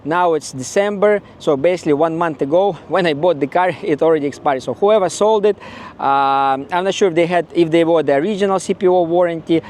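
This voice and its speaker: Ukrainian-accented man, fairly high voice. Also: fairly quick, darkish tone, a little hiss or hum. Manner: blunt, regretful, repeats himself. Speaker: car owner vlogger. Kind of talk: casual vlog monologue.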